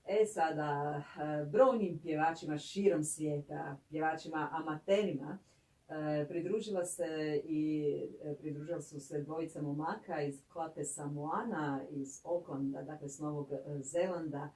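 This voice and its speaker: Mellow Croatian radio host in a roomy space. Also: medium pitch, steady tone, normal speed. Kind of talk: interview presenting. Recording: roomy, slightly distant microphone.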